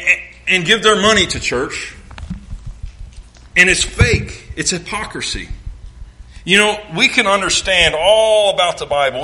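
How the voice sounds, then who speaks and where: Bright-voiced Southern preacher. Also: male voice, fairly high pitch, poor audio. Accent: Southern American. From American South. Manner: folksy, joking asides, mimics sounds. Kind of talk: sermon.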